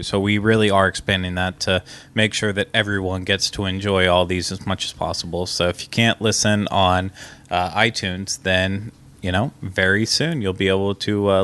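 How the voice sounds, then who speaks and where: A lower-voiced man co-hosting a casual podcast. He speaks frankly and conversationally, with plenty of uhs between his phrases. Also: a bit bright. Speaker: podcast co-host.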